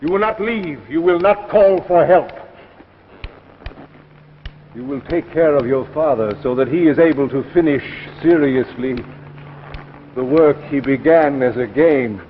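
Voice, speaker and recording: An actor playing a relentless, commanding robot that wants to become human, speaking in blunt, stilted orders in 1950s radio drama style. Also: male voice, a little high, unhurried, long pauses, slight background noise, natural voice. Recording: muffled old radio recording with music behind.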